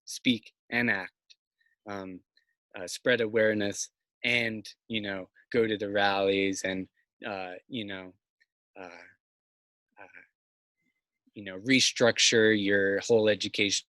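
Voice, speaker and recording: A man in his thirties, a farmer, talking thoughtfully on a video call with many pauses and fillers. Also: on the low side, fairly even intonation, bright, forceful tone, no room sound. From Massachusetts. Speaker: farmer, interview guest. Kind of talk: casual video call conversation. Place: outdoors by a house's siding. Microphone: video call, laptop or phone mic.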